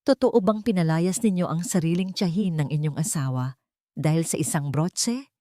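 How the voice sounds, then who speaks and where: A woman voicing a news reporter who asks loud, probing questions. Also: deep voice, moderate pace, no room sound, clean studio sound, close to an everyday voice. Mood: pressing.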